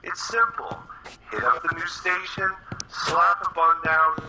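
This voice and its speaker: Guy doing a bossy smug game boss, kinda high voice, drags words out, noisy crackly audio. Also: measured pace, close to an everyday voice.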